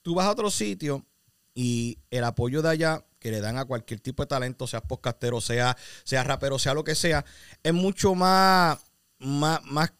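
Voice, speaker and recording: A man's voice: mid-range voice, moderate pace, a bit bright, clear, resonant tone, no echo. A Puerto Rican man hosting a podcast, rambling and teasing in casual banter with many restarts. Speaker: podcast host.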